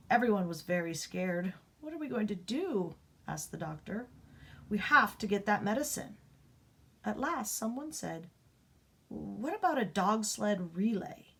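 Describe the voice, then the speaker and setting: A woman reading a children's picture book aloud, expressive, rising up and dropping to a hush for the dramatic parts. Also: mid-range voice, slightly rough. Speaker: storybook reader.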